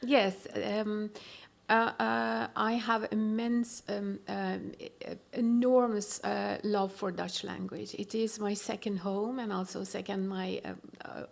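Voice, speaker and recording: A woman director with a Persian accent, speaking on a festival panel, pausing often with fillers and restarting her phrases. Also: medium pitch, flat delivery, vocal fry. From Iran. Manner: hesitant, many fillers and restarts. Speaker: film director.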